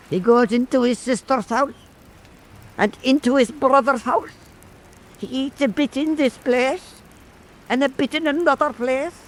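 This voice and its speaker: An actor playing a frail old Irish island storyteller in a radio drama, speaking in an earnest, folksy, teasing way. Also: male voice, very high-pitched, full character voice, low-quality recording. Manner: slow, folksy, emphatic.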